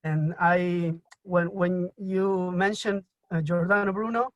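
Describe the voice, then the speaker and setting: A man from Argentina with an Argentine Spanish accent asks a question in a live online Q&A, speaking haltingly with many fillers and restarts. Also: high-pitched, fairly even intonation, no echo, poor audio. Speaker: audience member asking a question. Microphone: video call.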